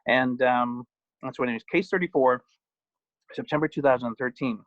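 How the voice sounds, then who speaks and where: A man's voice: mid-range voice, moderate pace, no room sound. A man giving an author's reading of his own poems and stories, with informal asides. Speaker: poet and author. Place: at home. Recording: dull, muffled lo-fi sound.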